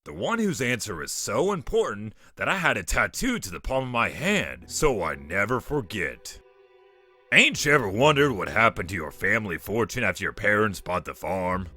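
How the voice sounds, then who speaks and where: A man doing crotchety old walrus, low and animated. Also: moderate pace, no echo, soft background music, exaggerated voice. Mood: nostalgic. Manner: gruff, folksy, slangy. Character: crotchety old male walrus convict, streetwise, gruffly fond. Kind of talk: comic dub voice acting.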